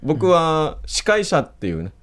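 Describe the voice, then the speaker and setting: A man's voice: a little high, a little slow. A man, a narrator and stage actor, joking animatedly as a guest on a radio show. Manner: jokey, animated. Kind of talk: casual radio chat.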